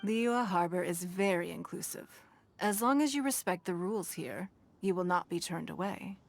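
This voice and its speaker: Shrewd, stately female tycoon for a game: lowish pitch, measured, formal, quietly commanding. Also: vocal fry, a little hiss or hum. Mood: advising. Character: poised, wealthy businesswoman leader, composed, shrewd and formal. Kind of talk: video game voice acting.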